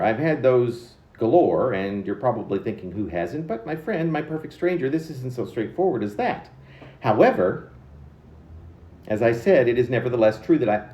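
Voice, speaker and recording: A roomy, slightly dull home recording of a man reciting poetry. His delivery is theatrical and mannered, with pitch that swings widely and halting, dramatic stresses on chosen words. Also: mellow. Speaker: poet reading own work.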